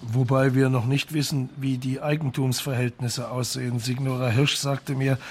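An actor playing a reserved police marshal, speaking in a measured, probing, matter-of-fact way in a German radio crime drama. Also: male voice, medium pitch, flat delivery, a little hiss or hum, lightly acted. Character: sober, reserved male Italian police marshal investigating.

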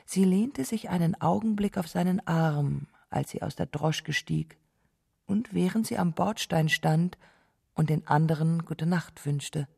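A woman narrates a literary radio drama, taking her time with each phrase. Her voice is dark and mellow with a creaky edge. She reads quoted dialogue as part of the deliberate storytelling. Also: low-pitched.

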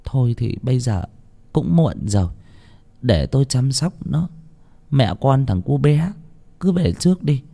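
A man plays a distraught village mother in a narrated tale. The voice is raised high and swoops widely, tearful and worried, fretting over her son. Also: very animated, creaky, dark tone, exaggerated voice. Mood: weary, worried. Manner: wailing, drawn-out lamenting. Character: anxious tearful village mother, middle-aged woman, wailing over son.

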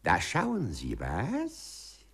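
A mischievous male ministry clerk in 1950s radio drama style. The voice is gravelly and drawn out, with huge swings in pitch. Servile politeness and mockery both come through theatrically. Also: wildly swooping pitch, drawn-out words, heavily acted. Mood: mocking, triumphant. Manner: theatrical, obsequious and mocking by turns. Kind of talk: 1950s German radio play acting.

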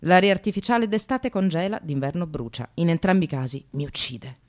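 A woman's voice: on the low side, fairly quick, natural voice. A woman playing a disillusioned receptionist and mother in a radio drama monologue, sardonic, emphatic and confessional. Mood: weary, distressed. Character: precarious receptionist, disillusioned separated mother, bitterly ironic. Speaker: actress narrating a monologue.

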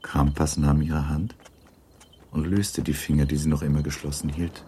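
A man giving a measured, scripted literary narration for a radio drama. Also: very deep voice, level tone, dark tone, slight background noise.

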